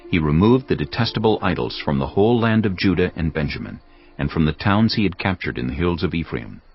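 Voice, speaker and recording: Very deep, expressive male scripture narrator. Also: breathy edge. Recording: dull lo-fi sound, music behind. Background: music.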